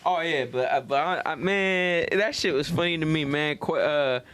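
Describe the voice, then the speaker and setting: A man's voice: fairly high pitch, moderate pace, fairly bright tone, slight background noise. An American man telling stories in casual, profane slang, acting out what people said to him. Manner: slangy, profane, acts out quoted dialogue. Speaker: podcast host. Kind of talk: casual chat, animated storytelling.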